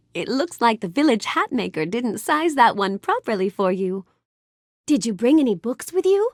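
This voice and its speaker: Casting: a bookish young storybook heroine in a game; high, swooping, gracious, earnest, dry studio sound. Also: female voice, big pitch swings, normal speed, no room sound. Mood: gentle, curious. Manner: gracious, earnest, wonder-filled, precisely polite delivery. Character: sweet, polite, bookish young fairy-tale heroine, curious and dreamy. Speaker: video game character voice actress. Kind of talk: animated game character lines addressed to the player.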